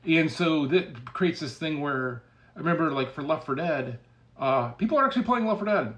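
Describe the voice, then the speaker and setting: A man's voice: fairly high pitch, lively intonation, moderate pace, fairly bright tone. A man speaking casually as a game developer, giving opinionated commentary in a blunt, sardonic, emphatic way.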